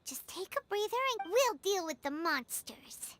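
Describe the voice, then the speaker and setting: A woman voicing a small childlike fairy companion in a video game, squeaky, chirpy and caring. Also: very animated, a little slow, background noise, full character voice. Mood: concerned, helpful. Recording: music behind game dialogue.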